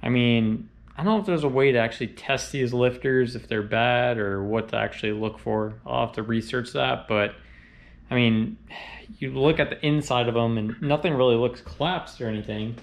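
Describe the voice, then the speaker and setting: Man in his thirties, home garage, action camera, relaxed shop talk, unsure and rambling, middle-range voice. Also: mid-range voice, normal speed, gravelly. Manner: relaxed, thinking aloud with fillers and trailing doubts. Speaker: car channel host. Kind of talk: casual garage commentary while working. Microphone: action camera on body and tripod.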